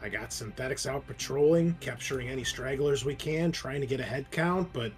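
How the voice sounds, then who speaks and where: Man acting as prison warden, tabletop game, medium pitch, curt, bossy, profane, defensive. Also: brisk, soft background music, natural voice. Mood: harried. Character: gruff, harried, defensive male prison warden. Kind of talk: tabletop role-play acting.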